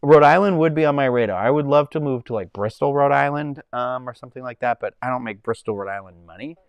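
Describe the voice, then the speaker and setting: A man's voice: mid-range voice, quick pace, clear, resonant tone, no room sound. A man with a Boston accent, hosting a casual livestream from home and chatting in a rambling way while he reads the chat. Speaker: livestream host.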